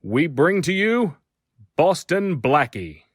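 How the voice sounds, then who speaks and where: A man's voice: on the high side, very animated, slow pace, very noisy. A man reading a scripted programme introduction as an announcer.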